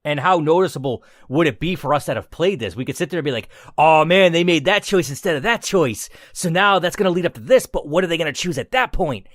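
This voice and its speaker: A man hosting a gaming channel, giving casual commentary on a game in an emphatic, gushing way with drawn-out words. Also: on the high side, animated, no echo, clean studio sound.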